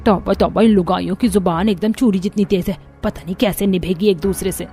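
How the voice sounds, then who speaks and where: A woman's voice: mid-range voice, steady tone, brisk, dark tone, soft background music, natural voice. A woman voicing a catty, jealous neighbour auntie in a cartoon story, needling and gossipy. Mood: affronted.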